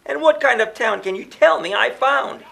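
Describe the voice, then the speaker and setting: A stubborn young boy from a children's storybook, performed by a man. He sounds high and animated, with a cocky, playful streak. His lines bounce along in rhyme. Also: normal speed, creaky, heavily acted. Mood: curious.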